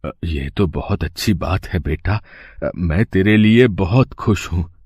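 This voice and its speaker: A man voicing a poor old cobbler grandfather, gentle, weary and affectionate, in a moral story. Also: low-pitched, animated, a little slow, no room sound, studio quality, full character voice. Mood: tender. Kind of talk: animated moral story dialogue. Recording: clear street and traffic noise behind.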